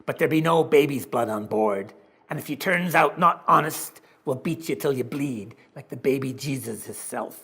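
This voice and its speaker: A man voicing a big, grinning male sailor who talks in a rough, mocking sea-dog dialect. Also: medium pitch, normal speed, fairly bright tone. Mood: threatening.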